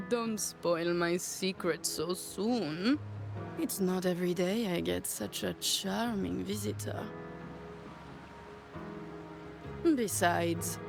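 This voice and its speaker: Slow, teasing mask-shop madame. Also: female voice, lowish voice, creaky. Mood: teasing, playful. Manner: teasing, genteel, flowery. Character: playful, theatrical mask-shop madame with twisted humor. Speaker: shopkeeper. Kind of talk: video game voice acting.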